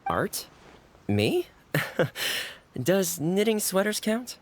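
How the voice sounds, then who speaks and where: A man's voice: high voice, huge pitch swings, drawn-out words, exaggerated voice. A man playing an easygoing, modest, good-natured young man in a video game, thoughtful and a little self-deprecating. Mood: modest, amused. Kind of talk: video game cutscene dialogue. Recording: music behind the voice.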